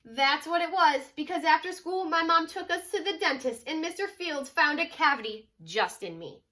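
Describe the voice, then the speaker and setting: A woman with a high, bright voice reads a storybook to children in the manner of a teacher. Her delivery swings widely in pitch, leaning dramatically on key words. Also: expressive, moderate pace. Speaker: teacher reading aloud to children. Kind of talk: expressive children's picture-book read-aloud.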